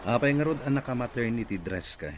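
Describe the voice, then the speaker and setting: A man's voice: medium pitch, lively intonation, fairly quick, close to an everyday voice. A man acting a blunt, teasing company boss in a radio comedy drama, with an Ilocano accent. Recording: clear background noise.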